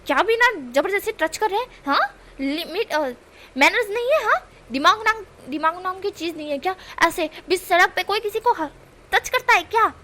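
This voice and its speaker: A woman voicing a young man who loudly pretends to be a poor, naive village boy, whining and pleading melodramatically. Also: extremely high pitch, animated, normal speed, pitched above the performer's own voice, heavily acted. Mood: indignant. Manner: whiny, melodramatic shouting and pleading.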